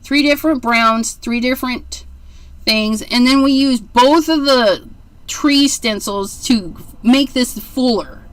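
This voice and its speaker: Slow, chatty woman in her forties. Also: on the high side, no echo. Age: 40s. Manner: chatty, rambling, gushing over cute things. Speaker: card-making livestream host. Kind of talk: casual livestream craft demo.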